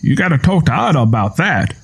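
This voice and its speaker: A man voicing a gruff old tenant farmer with a strained, choked voice and blunt, folksy talk. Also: fairly high pitch, sing-song, a little fast, voice pushed up, heavily acted. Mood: gruff, matter-of-fact. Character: gruff old backwoods Southern father-in-law, hard-drinking tenant farmer.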